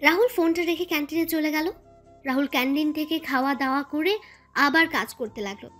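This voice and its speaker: A woman's voice: sits high, fairly quick, a bit bright, faint music behind. A woman reading scripted story narration as the narrator.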